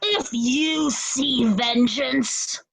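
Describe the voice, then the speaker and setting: A sadistic, commanding lord from Shakespearean tragedy, played by a woman. The voice is very high and sharp, and the words come extremely slowly. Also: vocal fry, slightly rough, no echo, heavily acted, low-quality recording. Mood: menacing. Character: cruel, commanding nobleman Cornwall, sadistic interrogator. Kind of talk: Shakespearean readers' theatre.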